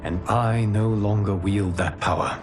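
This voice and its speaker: A composed heroic leader from a video game, played by a man, talks in a lowish, steady, earnest voice. He sounds grounded and sure of himself. Music runs beneath the lines. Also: measured pace, natural voice. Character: calm male protector and leader of heroes. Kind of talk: video game cutscene acting. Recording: game audio with music bed.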